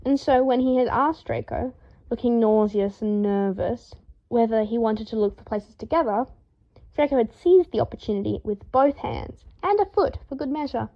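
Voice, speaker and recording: A woman reading a story aloud in a lively, expressive way, acting out the dialogue. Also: fairly high pitch, moderate pace, muffled recording. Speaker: fan fiction reader.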